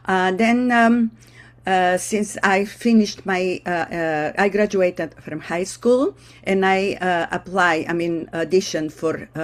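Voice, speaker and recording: An older woman from Bulgaria with a Bulgarian accent, a vocal ensemble director, talking haltingly in an interview and often pausing to search for words. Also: low-pitched. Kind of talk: casual interview talk.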